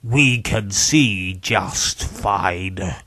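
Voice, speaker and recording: A vengeful spirit from beyond the grave for an audiobook thriller. It hisses in a breathy rasp, drawing out each word very slowly and with menace. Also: male voice, low-pitched, sharp tone, dry recording, exaggerated voice. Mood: cold menace. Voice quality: strange hissing, breathy rasp. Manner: slow, drawn-out menace. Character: hissing vengeful dead spirits speaking through a man.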